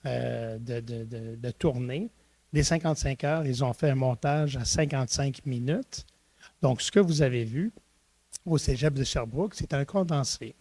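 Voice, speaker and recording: A man's voice: mid-range voice, darkish tone. A middle-aged man from Eastern Ontario, a university rector telling his story in an interview, with many restarts and hesitations. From Eastern Ontario, Canada. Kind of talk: reflective conversational interview.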